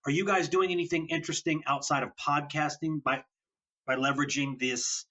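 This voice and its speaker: A man's voice: fairly high pitch, fairly even intonation, fairly quick, bright, forceful tone. A man hosting a podcast interview, speaking with enthusiasm and thinking aloud, repeating words as he goes. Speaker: podcast host. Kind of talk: conversational interview. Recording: dull lo-fi sound.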